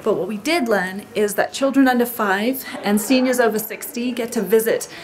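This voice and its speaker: A young woman's voice sits fairly high and bubbly as she vlogs into a handheld camera held at arm's length. She talks brightly to the viewer. A faint hum of open-air ambience stays under her voice. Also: normal speed, low-quality recording. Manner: bubbly, enthusiastic. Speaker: team member on a learning trip. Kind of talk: travel vlog selfie narration. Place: outdoors at a rural mission and indoors in hospital rooms.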